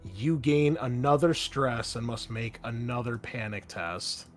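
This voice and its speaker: A man's voice: medium pitch, unhurried, soft background music. A man running a tabletop roleplaying game as host, shifting between conversational rulings and dramatic descriptive narration.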